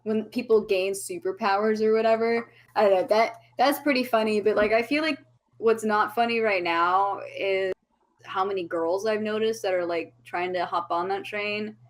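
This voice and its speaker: A young woman in her twenties chats as a guest over a remote call, piling on casual swearing as she tells quick, rambling stories. Her pitch sits in an ordinary middle range. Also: fairly even intonation. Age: 20s. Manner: chatty, swears freely, fast storytelling. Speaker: podcast guest. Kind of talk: casual chat.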